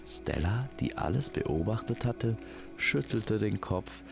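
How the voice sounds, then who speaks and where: A man narrating a scripted fantasy tale in a measured, poetic manner. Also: lowish voice, animated, gravelly, music in the background. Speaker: storyteller.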